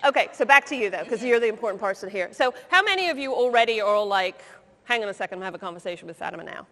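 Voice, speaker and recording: A woman leading a live coaching session on stage, lively, teasing and blunt. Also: medium pitch, racing. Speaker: career coach, workshop leader. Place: conference stage with audience. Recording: long hall reverb.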